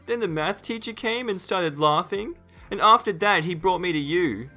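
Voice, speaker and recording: Man as whiny twelve-year-old boy, high voice, sobbing, lying tattletale, storytime character, exaggerated pleading. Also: lively intonation, moderate pace, pushed and bright, faint music behind.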